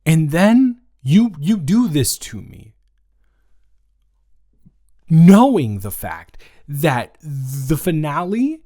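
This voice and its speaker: A man playing a smitten, playfully dramatic boyfriend in an improvised romantic audio roleplay, teasing and repeating his words haltingly. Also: fairly high pitch, mellow, pitched above the performer's own voice.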